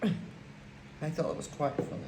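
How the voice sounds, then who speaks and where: An older woman from Lancashire with a broad Lancashire accent, chatting casually at home while she crafts, rambling with many fillers. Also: unhurried. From Lancashire, England. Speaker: crafting vlogger. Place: home, indoors. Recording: echoey room with faint hiss.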